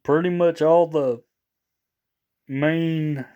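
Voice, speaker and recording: A man casually showing off gear for a vlog, rambling and halting as he repeats himself. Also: fairly high pitch, steady tone, smooth, narrow, tinny audio. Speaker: vlogger showing radio gear. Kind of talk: casual product showcase.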